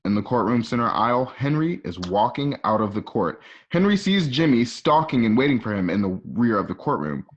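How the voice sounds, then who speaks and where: A slightly muffled, lo-fi home recording of a man narrating a radio drama. He has a mid-pitched voice and reads scene directions, sometimes tripping over a phrase and fixing it. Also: sing-song, fairly quick, few pauses. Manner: stumbles and corrects himself while reading. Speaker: narrator reading screen directions.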